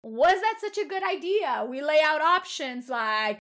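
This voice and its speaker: A woman's voice: high-pitched, very animated, a little slow, a bit bright. A woman who is a professional therapist, co-hosting a podcast in casual chat, explaining patiently and laughing often.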